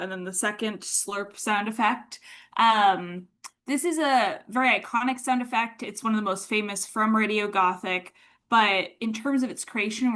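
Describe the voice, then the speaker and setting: Female lecturer, average pitch, measured academic explainer delivery, thoughtful and semi-scripted. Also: a bit bright.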